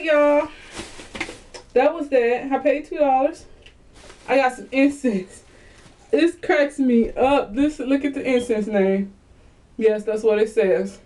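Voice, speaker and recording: A woman's voice: high voice, a little mellow. A woman, chatting animatedly to viewers in a casual haul video from a small bedroom, recorded on a webcam. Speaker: vlogger.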